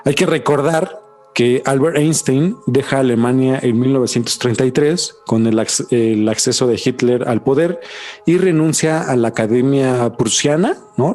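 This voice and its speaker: A man with a Mexican accent hosting a podcast in casual chat, slangy and animated, repeating words for emphasis. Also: medium pitch. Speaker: podcast host.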